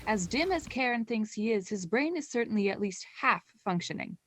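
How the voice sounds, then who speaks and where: A woman's voice: a little high, lively intonation, moderate pace, a bit bright, no room sound. A young woman playing the wry narrator of a scripted student radio play. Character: wry storyteller commenting on the characters.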